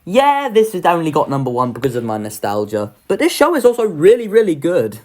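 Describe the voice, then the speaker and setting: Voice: medium pitch, huge pitch swings, fairly quick, darkish tone. A young adult giving a casual, enthusiastic ranking commentary, informal and happy to swear. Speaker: video creator ranking children's pantomimes.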